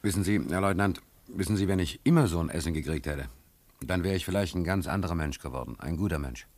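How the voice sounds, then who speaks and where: An actor playing a cheeky, naive-seeming German vagabond prisoner in a radio play, speaking in a folksy, hesitant, deferential yet impudent way. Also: male voice, low-pitched, fast, darkish tone. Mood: hungry, grateful.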